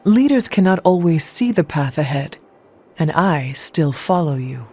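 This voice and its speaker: An earnest, higher-voiced loyal warrior. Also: male voice, very animated, normal speed, husky, heavily acted. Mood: earnest, reassuring. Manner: formal, earnest, plain-spoken. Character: earnest, steadfast warrior, formal and loyal supporter. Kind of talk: video game character dialogue. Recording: muffled game audio under music.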